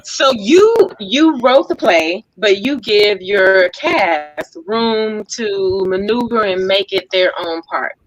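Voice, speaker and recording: A woman's voice: medium pitch, lively intonation, takes it slowly, hardly any pauses, rough recording. A woman hosting a casual group interview in a playful, teasing, chatty way. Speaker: talk show host.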